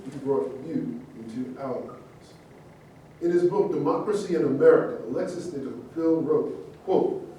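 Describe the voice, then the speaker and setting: A man's voice: mellow, close to an everyday voice. A man in a staged reading, playing a proud, aggrieved fallen public figure who speaks in oratorical, emphatic phrases. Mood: solemn. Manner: oratorical, rhetorical questions, emphatic pauses. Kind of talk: theatrical monologue, staged reading. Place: indoor room at a staged reading. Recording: very echoey room, distant mic, faint rumbling noise.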